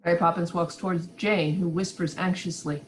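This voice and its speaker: Lowish-voiced woman reading stage directions. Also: fairly even intonation, fairly quick. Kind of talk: scripted narration of stage directions. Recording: some background noise.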